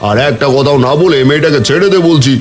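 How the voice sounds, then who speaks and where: A man's voice: a little high, fast, a little hiss or hum, natural voice. A man playing a kindly but authoritative village headman in a cartoon, firm and fatherly.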